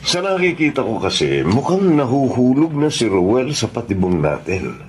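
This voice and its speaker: A man's voice: medium pitch, very animated, normal speed, slight background noise, natural voice. A man with a Filipino accent acting a scheming, assured congressman in a Tagalog radio drama. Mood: sly, assured. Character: scheming smooth congressman, loyal grandson of a plotter.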